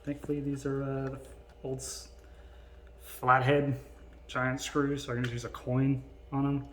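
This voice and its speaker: A man giving casual, unscripted unboxing commentary in a halting, hesitant way. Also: mid-range voice, completely flat delivery, a little hiss or hum. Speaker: vlogger doing an unboxing.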